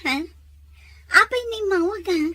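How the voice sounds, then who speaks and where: A woman playing an eager young job seeker in a drama, with a husky voice. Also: very high-pitched, expressive, unhurried, sharp tone, dry recording, exaggerated voice. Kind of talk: Ilocano drama acting.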